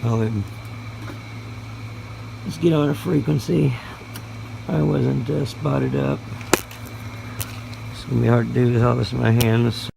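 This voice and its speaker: Male hobby vlogger, gravelly fry, hesitant folksy delivery, casual show-and-tell, recorded over clear background noise. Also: mid-range voice, measured pace, long pauses. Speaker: YouTube hobbyist presenter. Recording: noisy room with steady background hum.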